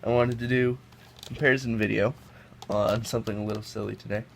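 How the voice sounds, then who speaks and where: Young man, sick and sniffly, low gravelly voice, casual rambling chat, noisy home mic. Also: flat delivery, fast talker. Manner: chatty, rambling with self-corrections. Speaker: toy reviewer. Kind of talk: casual hands-on product comparison. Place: indoors at a table. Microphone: camera mic, off-screen above the table.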